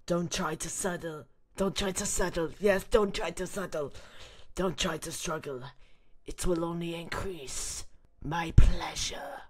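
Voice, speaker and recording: A reader voicing a creepy, gloating male cult leader with an odd, unplaceable foreign accent and a drawn-out theatrical manner, in an amateur drama. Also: mid-range voice, fairly even intonation, close to an everyday voice. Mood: gloating, leering. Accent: odd, unplaceable put-on foreign.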